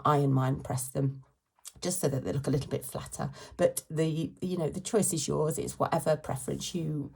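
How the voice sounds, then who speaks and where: A British woman with a slight cold and a croaky voice, chatting informally through a hands-on craft tutorial. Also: low-pitched. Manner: chatty, informal, self-correcting. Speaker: craft channel host.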